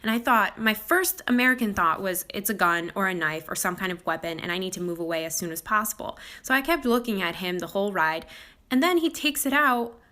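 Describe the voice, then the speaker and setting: Woman, bright voice, earnest detailed storytelling to camera. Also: medium pitch, lively intonation, brisk.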